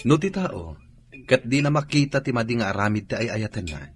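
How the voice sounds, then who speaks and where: A male actor with a Filipino accent playing an earnest young soldier and sympathetic friend in a radio drama, speaking in a measured, expressive way. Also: mid-range voice, close to an everyday voice. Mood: earnest advising.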